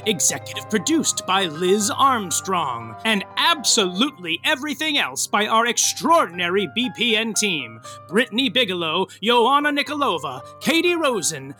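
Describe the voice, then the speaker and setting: Flamboyant male narrator, bright and lively. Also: high voice. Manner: playful, flamboyant delivery. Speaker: narrator reading end credits. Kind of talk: theatrical credits read-out.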